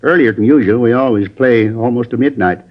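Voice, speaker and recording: An actor playing a plain-spoken neighbor who gives a witness account in a folksy, rambling way, in a 1950s radio drama. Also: male voice, mid-range voice, fairly quick, natural voice. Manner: folksy, rambling, ungrammatical speech. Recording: dull lo-fi old radio recording.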